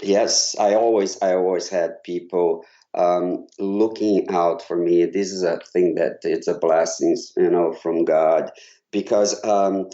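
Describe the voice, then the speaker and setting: Deep Portuguese-accented man, muffled sound. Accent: Brazilian Portuguese. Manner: halting, restarts often, warm storytelling. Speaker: choral conductor guest. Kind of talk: casual interview conversation. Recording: slightly dull lo-fi sound.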